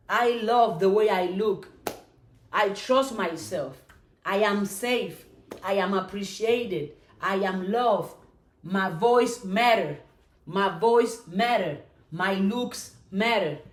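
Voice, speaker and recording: A woman leads a live stream with slow, repeated affirmations, keeping each phrase deliberate and unhurried. She calls viewers to come on and ask questions, pitched in a middling range. Her voice rings in a roomy, echoing space. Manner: repetitive chanting affirmations; bursts into excited cheering. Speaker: motivational live-stream host.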